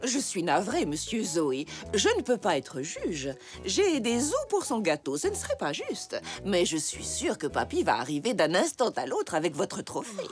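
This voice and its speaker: Casting: encouraging French cartoon grandma zebra, gentle temper, hugely varied intonation, music behind. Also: female voice, mid-range voice, huge pitch swings, moderate pace, hardly any pauses. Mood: apologetic. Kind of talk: animated children's cartoon dub.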